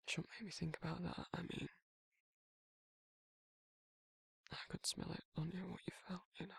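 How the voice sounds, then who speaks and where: A British man playing a protective but awkward male werewolf in an intimate romantic ASMR roleplay, speaking in a halting, flustered, husky voice. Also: a little high, level tone, no echo, full character voice. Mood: shy, flirtatious. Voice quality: gravelly, husky, breathy. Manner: flustered, halting, stammering, trailing off.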